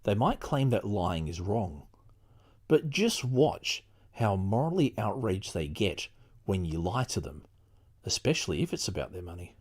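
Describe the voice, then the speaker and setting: A man's voice: fairly low pitch, big pitch swings, unhurried, darkish tone, slightly rough. A middle-aged man hosting a podcast, chatting casually and reading aloud from a book. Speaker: podcast host.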